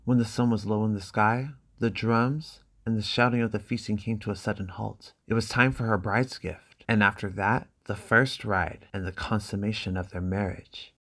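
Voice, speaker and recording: A man's voice: fairly low pitch, fairly even intonation, normal speed, hardly any pauses, a bit bright. Man giving a dramatic story narration. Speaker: amateur narrator, video creator.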